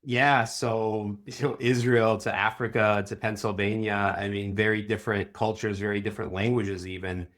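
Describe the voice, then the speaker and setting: Lowish-voiced male interviewer, informal. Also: flat delivery, moderate pace, few pauses, fairly bright tone. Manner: informal, appreciative. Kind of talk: conversational interview questions.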